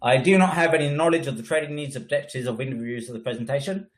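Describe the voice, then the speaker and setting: A man's voice: mid-range voice, rapid-fire. A man with a head cold, presenting a trading webinar in an emphatic, coaching way, often tagging lines with "right?". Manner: emphatic, frequent "right?" tags. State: congested with a cold. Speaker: trading educator.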